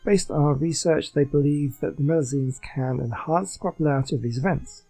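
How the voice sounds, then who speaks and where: A man's voice: on the high side, fairly quick, vocal fry, warm tone, breathy edge, soft background music, poor audio. A man doing a casual video game playthrough, reading in-game text aloud.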